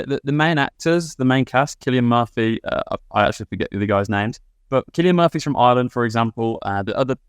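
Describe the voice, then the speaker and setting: A man's voice: mid-range voice, clean tone, no echo, clean studio sound. A young man from Birmingham, England, a guest on a podcast, chatting casually with frequent restarts. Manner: quick, many restarts and fillers. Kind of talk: casual chat.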